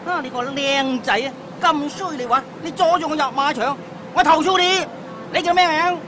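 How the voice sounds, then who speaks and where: A man's voice: very high voice, normal speed. An actor playing a pushy older male horse-racing fan who mocks and threatens to complain. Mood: rude mocking. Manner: rude, mocking, blustering. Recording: noisy station crowd.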